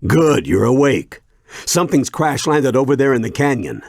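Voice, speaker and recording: An actor playing an older, steady grandfather and seasoned mentor in a cartoon game, grave and explanatory. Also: medium pitch, moderate pace, slightly rough, no echo, studio quality. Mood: calm. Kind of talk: video game cartoon voice acting. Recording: music behind the voice. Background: music.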